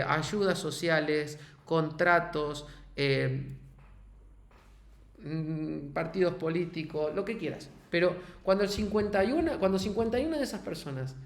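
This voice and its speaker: Host, man, Argentine accent, hesitant, thinks aloud with fillers, a bit high-pitched, echoey room. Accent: Argentine Spanish. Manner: hesitant, restarts and fillers while reasoning.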